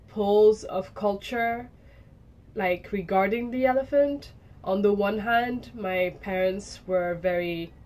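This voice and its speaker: A young woman from Zimbabwe and Kenya giving a personal portfolio presentation, reflective and pausing often to restart her thoughts. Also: medium pitch, darkish tone, a little hiss or hum. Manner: reflective, with frequent restarts and hedges.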